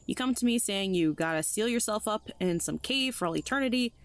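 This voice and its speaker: A woman's voice: medium pitch, animated, brisk, no echo, lightly acted. An actress in an audio drama playing a blunt, sardonic woman with a casual, slangy way of talking. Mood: wary, blunt. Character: Olivia, blunt, sardonic, slangy human friend.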